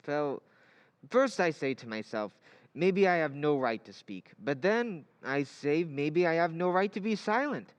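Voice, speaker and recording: An actor playing a gentle, earnest foreign professor with a European accent in an old-time radio drama, speaking formally and haltingly. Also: male voice, on the high side, lively intonation, dry recording. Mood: earnest, urging.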